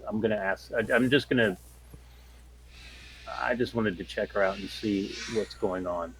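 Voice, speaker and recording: A man's voice: fairly low pitch, steady tone, normal speed, fairly bright tone, a little hiss or hum, low-quality recording. A man playing a tabletop game, talking casually and tentatively as he thinks aloud.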